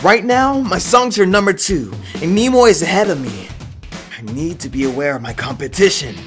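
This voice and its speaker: Woman voicing a low, smug teenage boy. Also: animated, normal speed, soft background music, close to an everyday voice. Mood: insistent, accusing. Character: teasing, possessive teenage boy singer, childhood friend. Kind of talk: amateur online radio drama acting.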